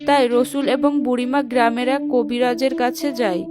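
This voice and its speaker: A woman's voice: a little high, steady tone, brisk. A woman giving scripted narration of a fairy tale for children. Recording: music behind the voice.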